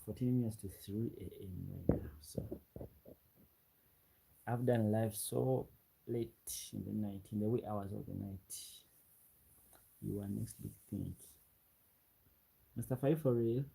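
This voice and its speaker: A man's voice: lowish voice, slow, gravelly, pauses often, slight background noise, rough recording. A young man from Uganda, chatting loosely on a late-night livestream, often repeating himself. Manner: repeats phrases, relaxed and rambling. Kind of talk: late-night livestream chat, reading comments.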